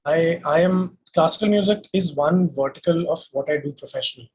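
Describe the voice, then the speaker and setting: A man's voice: a little high, fairly quick, slight background noise, low-quality recording. A man with an Indian accent, a singer-songwriter giving earnest, emphatic, articulate answers in a conversational interview.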